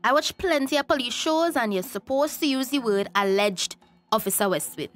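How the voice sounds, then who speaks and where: A woman's voice: on the high side, lively intonation, normal speed, faint music behind, lightly acted. An actress with a Tobagonian accent playing a defiant, exasperated young woman in a radio drama. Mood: indignant. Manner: sassy, indignant.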